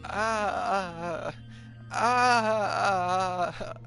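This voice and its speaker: A man's voice: sits high, drawn-out words, vocal fry, sharp tone, soft background music, pitched above the performer's own voice, heavily acted. A man doing a stammering, terrified victim. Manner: stammering, whimpering, panicked. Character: terrified young man begging for life.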